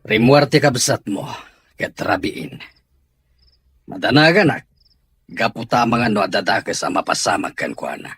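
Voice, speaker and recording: A man's voice: medium pitch, expressive, a little slow, pauses often, fairly bright tone, slightly rough, no room sound, full character voice. A man with an Ilocano accent playing a worried, exasperated father in a comedy-drama podcast.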